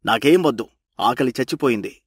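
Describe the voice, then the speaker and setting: A man's voice: medium pitch, lively intonation, fairly quick, slightly rough. A man playing a grumbling, easily irritated husband in a cartoon story, blunt and complaining.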